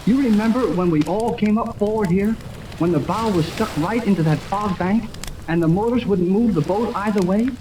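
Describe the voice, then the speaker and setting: An actor playing an earnest, excitable young American radio operator in a 1930s radio serial. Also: male voice, high voice, moderate pace, close to an everyday voice. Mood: eager. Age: young adult. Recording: noisy old radio recording, steady crackling hiss.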